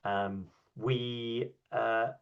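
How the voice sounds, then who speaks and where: A man speaking on a video call as a workshop speaker, answering questions in a relaxed way with many ums and restarts. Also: medium pitch, very slow, clear, resonant tone. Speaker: invited speaker at an online workshop. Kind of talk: conversational talk and answers. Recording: dull, lo-fi video call audio.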